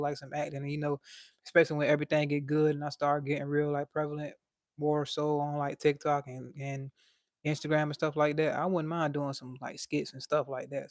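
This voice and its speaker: A man's voice: on the high side, no room sound, clean studio sound. A young man with a light voice, chatting casually on a livestream and reading out chat in a laid-back, slangy way.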